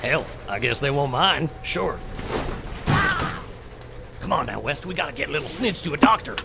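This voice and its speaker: Audio drama: kindly blue-collar male driver, gravelly, folksy, lively pitch, vehicle noise behind. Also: moderate pace. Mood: easygoing. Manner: folksy, drawling slang. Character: folksy, kindly working-class male corpse-collecting truck driver.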